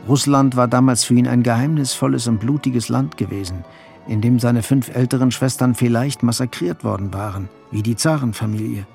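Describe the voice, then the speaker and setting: A male narrator tells a literary story for a radio play. His voice is mid-pitched and creaky, with faint music behind it. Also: brisk, darkish tone.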